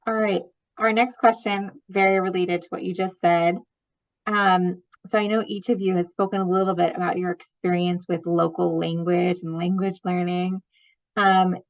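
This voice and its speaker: Woman moderator, encouraging, mid pitch, muffled lo-fi sound. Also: steady tone, normal speed. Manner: encouraging, prompting questions. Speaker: panel moderator. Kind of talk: moderating a panel. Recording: dull lo-fi audio.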